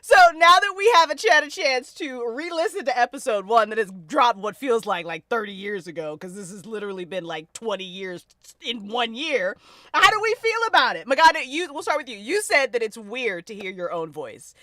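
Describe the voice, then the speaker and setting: Female live-chat host: high, very lively intonation, effusive, casual, dry close sound. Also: fairly quick, no room sound. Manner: effusive, excitable.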